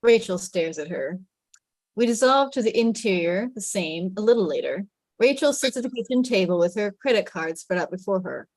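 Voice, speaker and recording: A woman narrates stage directions at a script table read. Her voice sits at a middle pitch, and the sound is dry with no room echo. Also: expressive, moderate pace, darkish tone.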